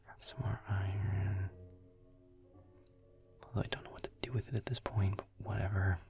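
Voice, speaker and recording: A man playing a grumpy, sarcastic werewolf boyfriend in a gaming roleplay audio, muttering irritably with swearing in a low, breathy, gravelly voice. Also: level tone, normal speed, loud music behind the voice. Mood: frustrated.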